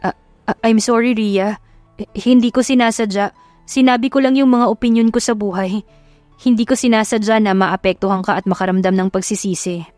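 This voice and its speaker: A woman's voice: medium pitch, steady tone, fairly quick, darkish tone, dry recording, soft background music, lightly acted. A woman voicing a humble, kind young working man in an audio love story, earnest and apologetic. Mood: apologetic, worried.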